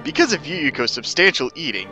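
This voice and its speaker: A man's voice: fairly high pitch, very animated, brisk, pushed and bright, dull audio, faint music behind. A man giving a mock-serious dramatic reading of comic fan fiction as its narrator.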